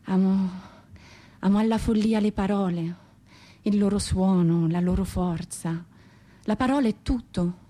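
An actress playing a proud, rebellious woman poet in an intense, declamatory theatrical monologue that swells and hushes. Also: medium pitch, a little slow, close to an everyday voice. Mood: passionate.

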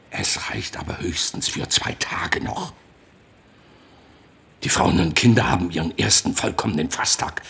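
An actor playing a grim, worn council member in a radio drama, with a gravelly, husky voice. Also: male voice, on the low side, a bit bright, heavily acted.

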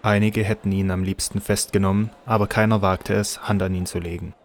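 A man's voice: fairly low pitch, flat delivery, brisk, slight background noise. A man reading scripted narration for a Bible audio drama.

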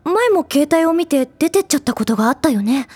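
A woman's voice: high voice, lively intonation, quick pace, darkish tone, no room sound. A woman playing a brusque, prickly teenage schoolgirl in an anime-style audio drama. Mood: concerned.

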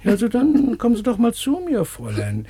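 An actor playing a meek, lonely, fussy laboratory assistant in a radio play, with a breathy, husky voice and a servile, repetitive way of talking. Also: male voice, high voice, wildly swooping pitch, fairly quick, a crowd in the background. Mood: shyly eager. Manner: servile, muttering, repeats words, swoops. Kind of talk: German radio play acting.

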